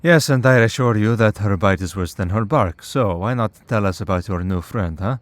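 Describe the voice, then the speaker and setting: A man plays a centuries-old vampire priest who hunts his own kind in an audio drama. The voice is low and male, with a dry, unhurried delivery. He stays calm and wry, polite even when he is being sardonic. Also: no echo, close to an everyday voice. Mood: wry, confident. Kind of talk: scripted audio roleplay, ASMR drama.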